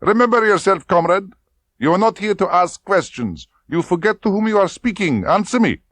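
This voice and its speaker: A domineering, menacing female Soviet colonel, put on by a male audiobook reader, pitched well up into a sharp, forceful register. She is stern and commanding, her voice swooping with lively intonation, recorded dry and close. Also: measured pace, no room sound. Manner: stern and commanding, then oily and coaxing. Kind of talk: audiobook character voice.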